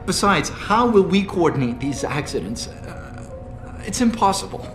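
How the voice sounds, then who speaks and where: Nervous, hesitant game scientist. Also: male voice, high voice, big pitch swings, moderate pace. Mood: anxious. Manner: anxious, hesitant, stammering. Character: nervous, fearful male captive research scientist. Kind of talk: video game voice acting. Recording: roomy reverb, faint music.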